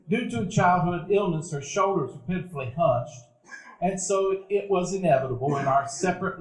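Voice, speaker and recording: A man narrating a live radio play, reflective storytelling. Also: a little high. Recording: echoey live theater hall.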